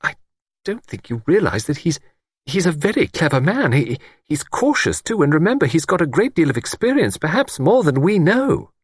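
A fluttery middle-aged spinster, as a male narrator puts her on, eager and easily alarmed. Her voice leaps high and swoops about with great liveliness. It sits close and dry, with no room around it. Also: wildly swooping pitch, fairly quick, husky, no room sound, exaggerated voice. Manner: high, breathless, fussy and eager.

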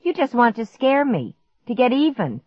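A woman voicing a young boy, a smug and excitable stepbrother in a storybook, exclaiming and taunting. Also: on the high side, moderate pace, no echo, dull audio, pitched above the performer's own voice. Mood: scornful. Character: smug, skeptical boy stepbrother who turns terrified.

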